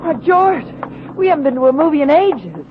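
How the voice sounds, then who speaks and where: Perky female sidekick, playful, lively pitch, old radio drama, muffled recording. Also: normal speed, very noisy.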